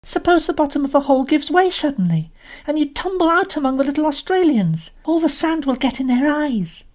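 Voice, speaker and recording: A woman's voice: sits high, sing-song, brisk, a little mellow, voice pushed up. A woman voicing a timid, fanciful little girl in a storybook reading, worried and dreamy.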